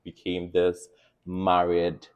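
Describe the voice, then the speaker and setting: A man's voice: low-pitched, completely flat delivery, takes it slowly, clean tone, narrow, tinny audio. A male host running a casual interview chat. He gushes, laughs a lot, and leans on filler words.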